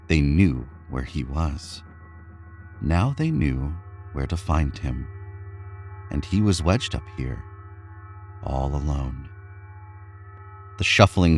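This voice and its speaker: Very deep-voiced man narrating horror. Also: expressive, faint music behind. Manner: measured, suspenseful delivery. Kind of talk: scripted horror story narration.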